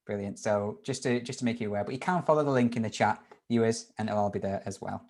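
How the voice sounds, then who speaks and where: A man's voice: on the low side, fast, darkish tone. A man hosting a university webinar Q&A on a video call, upbeat and informal with lots of fillers and restarts. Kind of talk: live webinar Q&A.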